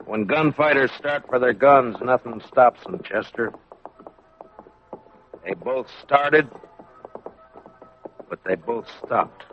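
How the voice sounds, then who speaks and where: An American man playing a stern, world-weary frontier marshal in a 1950s radio western, terse and deadpan. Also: medium pitch, slow pace, long pauses, slight background noise, lightly acted. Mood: weary, resolute. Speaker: lead actor and narrator of a western radio drama. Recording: muffled vintage radio recording with music.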